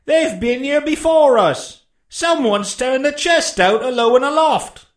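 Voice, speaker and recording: A man voicing an excitable, rough pirate henchman who calls out in a shouting voice in an audiobook. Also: takes it slowly, heavily acted. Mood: alarmed shouting. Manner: shrill shouting. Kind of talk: audiobook character voice.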